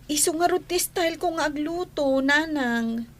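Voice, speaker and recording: Woman acting a high-voiced assertive young daughter-in-law. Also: normal speed, lightly acted. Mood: indignant. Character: assertive young daughter-in-law defending her own ways. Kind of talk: Ilocano radio drama acting.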